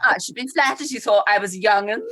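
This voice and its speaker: A woman's voice: mid-range voice, fairly quick, pushed and bright. A woman playing an indignant, gossipy married village lady who overshares in a comedy radio play. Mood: affronted.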